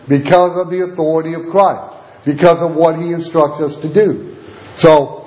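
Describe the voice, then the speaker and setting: A man's voice: a little high, a little mellow. A man preaching a sermon while losing his voice, teaching steadily and reading scripture aloud. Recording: echoey lo-fi room recording.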